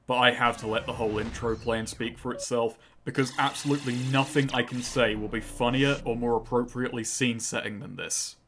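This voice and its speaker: Man, game reviewer, sardonic, profane, mid pitch, faint background noise. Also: fairly even intonation, fairly quick, few pauses, fairly bright tone. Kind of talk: scripted video commentary.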